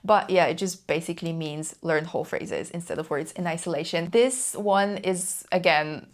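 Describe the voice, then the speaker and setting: A woman's voice: on the low side, normal speed. A young woman whose first language is Polish, talking to camera in a chatty, enthusiastic way and often repeating herself for emphasis. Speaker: language learning YouTuber. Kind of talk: casual talk to camera.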